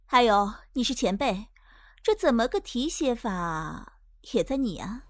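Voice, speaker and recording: A woman's voice: a little high, big pitch swings, a little slow, no echo. A woman acting in an audio drama as a shrewd, self-assured, teasing confidante to a star. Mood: persuasive.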